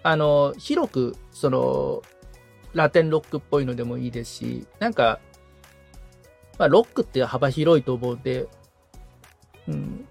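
Male podcast co-host, conversational and enthusiastic explainer, mid-pitched voice that moves around a lot. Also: expressive, measured pace, gravelly, pauses often, faint music behind. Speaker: podcast assistant, guitarist being coached.

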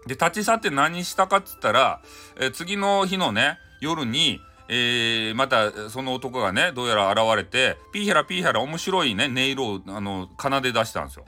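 Male storyteller, Hakata accent, bright animated voice, chatty folksy bedtime-tale delivery over clear background music. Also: medium pitch, normal speed. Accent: Hakata (Fukuoka) Japanese. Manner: chatty, folksy, frequent asides. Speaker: storyteller, host of audio show. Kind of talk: casual improvised folk tale retelling for bedtime.

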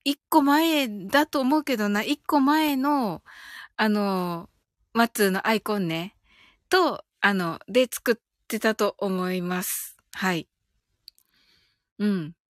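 A woman's voice: mid-range voice, slow, no room sound, clean studio sound. A woman hosting a live audio stream, chatting casually and reading out listener comments in a giggly, chatty way. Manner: giggly, chatty, often ends phrases with soft filler particles.

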